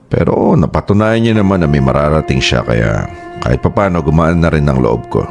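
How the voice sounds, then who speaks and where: A man playing a gravelly, deep-voiced father. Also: expressive, brisk, over music, heavily acted. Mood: reflective. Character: stern aging father softening toward sons. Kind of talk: radio drama acting.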